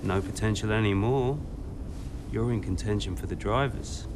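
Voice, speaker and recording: An actor playing an earnest, driven racing team boss in a naturalistic game cutscene, informal and emphatic, sometimes halting. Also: male voice, lowish voice, background noise, natural voice. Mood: proud, wistful.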